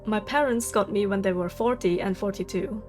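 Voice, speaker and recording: A woman's voice: medium pitch, moderate pace, darkish tone, soft background music. A woman, venting candidly in a casual vlog monologue, swearing freely and laughing often. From Germany. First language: German. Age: 30s. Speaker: vlogger.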